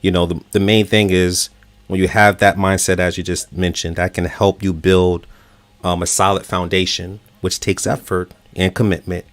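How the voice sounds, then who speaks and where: A man's voice: sits low, level tone, normal speed, dry recording. A man hosting a relationship talk show, earnest and encouraging, using filler words as he talks.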